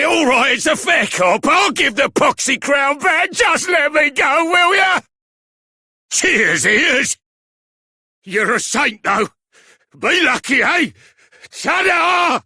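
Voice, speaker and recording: Cheeky game bandit, Cockney, raspy, bright and forceful, slow-paced, roguish. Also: male voice, very high voice, animated, no room sound, studio quality, heavily acted. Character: cheeky roguish bandit boss, slippery and pleading when cornered. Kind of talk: video game character acting.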